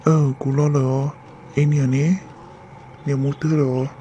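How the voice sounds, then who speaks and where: An actor voicing a man in an animated family drama, in an amateur cartoon style. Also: medium pitch, unhurried, lightly acted. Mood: conversational. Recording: dull lo-fi sound, faint noise.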